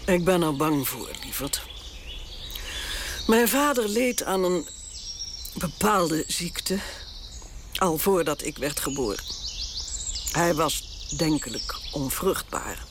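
A woman's voice: low voice, animated, slow pace, pauses often, background noise. An actress playing an aging, strong-willed queen mother in a radio drama, talking in an imperious, indignant way. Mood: grave. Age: older.

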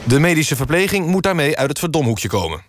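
A man playing an earnest radio news presenter, reading scripted satirical items in a formal, deadpan way. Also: a little high, fast, slight background noise.

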